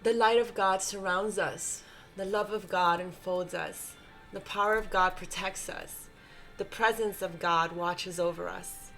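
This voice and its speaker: A woman with a stuffy, congested nose giving a gentle, reassuring spiritual tarot reading and often checking in with her listeners. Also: fairly low pitch, steady tone, measured pace, faint music behind. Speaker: tarot reader.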